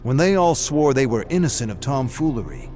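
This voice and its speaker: A man's voice: medium pitch, very animated, brisk, mellow, faint music behind, natural voice. A man voicing a folksy animal parent who tells rambling first-person yarns in a scripted game story. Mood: puzzled, animated.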